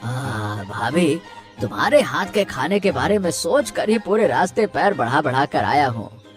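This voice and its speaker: A man's voice: on the high side, very animated, normal speed, soft background music. A man voicing a jolly, gluttonous village man in a cartoon, eagerly repeating his words. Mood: eager, hungry. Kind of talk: Hindi cartoon story voice acting.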